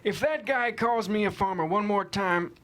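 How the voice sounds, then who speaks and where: A man's voice: high voice, normal speed, a bit bright, a little hiss or hum. A man playing a furious, proud cattleman in a screenplay table read, irate and profane.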